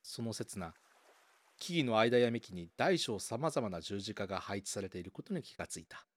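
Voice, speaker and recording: Man narrating a radio drama, widely swinging pitch. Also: big pitch swings, moderate pace, no echo, studio quality. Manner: dramatic, suspenseful storytelling. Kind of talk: scripted first-person radio drama narration.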